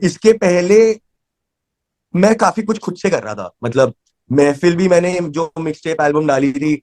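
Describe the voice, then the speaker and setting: A man's voice: a little high, expressive, racing, a little mellow, no echo, clean studio sound. A man with an Indian accent talking casually as an interview guest, switching often between Hindi and English. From Delhi, India.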